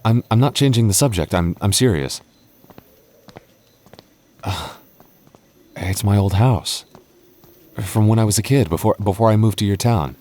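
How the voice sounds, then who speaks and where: A man playing a nervous, affectionate young boyfriend in an intimate roleplay audio drama, speaking softly with hesitant stammers. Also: on the low side, a little slow, long pauses, close to an everyday voice. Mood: flustered, nostalgic. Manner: hesitant, stammering, soft-spoken intimate delivery.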